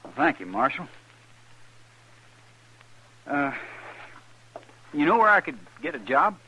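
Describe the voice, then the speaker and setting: An actor playing a humble, earnest young American cowboy in a 1950s radio western, polite and plainspoken. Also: male voice, a little high, big pitch swings, slow pace, sharp tone, slightly rough, lo-fi sound, full character voice. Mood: subdued, earnest. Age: young adult. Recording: faintly noisy vintage radio drama recording.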